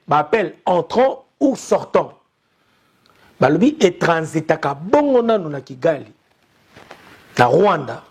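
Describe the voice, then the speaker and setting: A man's voice: high voice, darkish tone, slightly rough. A young Congolese man with a Congolese accent, giving an urgent, impassioned commentary and repeatedly appealing to his listeners as a press commentator. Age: young adult.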